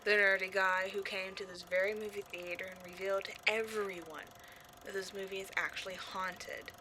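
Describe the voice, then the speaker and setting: A melodramatic female ghost who haunts an old horror film, acted by a woman for a comedic audio roleplay. Her voice is bright and forward, at a middling pitch for a woman. She talks straight to the listener, mock-sinister and petty, and is easily exasperated. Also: fairly even intonation, moderate pace, a little hiss or hum, lightly acted. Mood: bitter, low.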